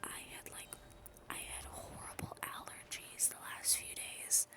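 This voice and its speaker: A young woman whispering her way through soft-spoken gaming chat. She rambles, with frequent ums, and her voice is a little raspy from a dry throat. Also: a little slow. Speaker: gaming YouTuber.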